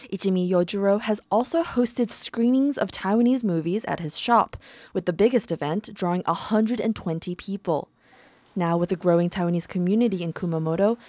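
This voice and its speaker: A woman reads a scripted news report in a mellow, warm tone. She keeps a measured, even delivery, recorded as a clean studio voice-over. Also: mid-range voice, dry recording. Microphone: studio voice-over mic.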